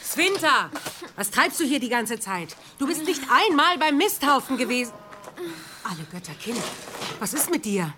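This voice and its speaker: A woman's voice: high-pitched, very animated, moderate pace. An actress in a radio play voicing a stern but caring medieval peasant mother, scolding and concerned. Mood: scolding, alarmed. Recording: noisy scene with clicking effects.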